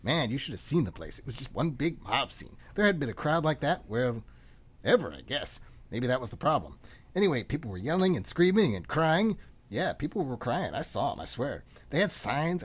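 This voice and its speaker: A man acting in a comic radio play as a frantic, rambling middle-aged man under arrest, excitable and often interrupting himself. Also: medium pitch, a little fast, husky. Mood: rambling, nostalgic.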